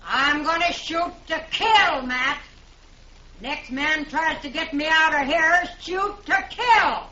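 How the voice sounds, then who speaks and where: A woman's voice: high voice, takes it slowly, bright, forceful tone, a little hiss or hum, exaggerated voice. An actress playing a defiant, stubborn woman homesteader who threatens intruders fiercely, in a 1950s radio drama. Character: defiant armed woman homesteader defending her land. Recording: roomy, muffled old radio broadcast.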